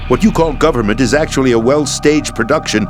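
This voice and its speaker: An actor playing a disillusioned, world-weary middle-aged American president in a dramatic video game scene, with an emphatic theatrical delivery. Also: male voice, mid-range voice, a little fast, natural voice. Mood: grave, cynical. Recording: game soundtrack music underneath.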